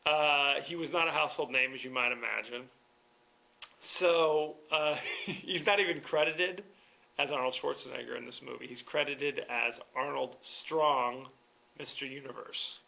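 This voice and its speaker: Wry, gravelly-voiced podcast host. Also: male voice, mid-range voice, unhurried, bright, forceful tone. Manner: wry, rambling, chuckling asides. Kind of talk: casual solo movie review.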